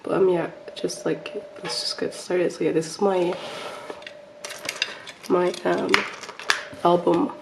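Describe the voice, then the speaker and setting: Woman, casual vlogger, low gravelly voice, nasal from a cold, meandering delivery. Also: little pitch movement, slow, long pauses, background noise. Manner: rambling, lots of ums and restarts. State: slightly sick and nasal. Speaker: collector showing her photocard binder. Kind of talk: casual show-and-tell vlog.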